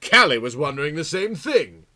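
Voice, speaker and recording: A man's voice: a little high, very animated, normal speed, sharp tone. A man reading a story aloud in a theatrical, comic style with exaggerated, melodramatic delivery. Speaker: audiobook reader.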